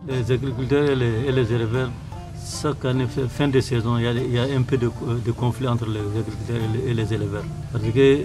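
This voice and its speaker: A man's voice: mid-range voice, steady tone, fairly quick, music in the background, rough recording. A man speaking in a documentary interview, plainly and sometimes searching for words.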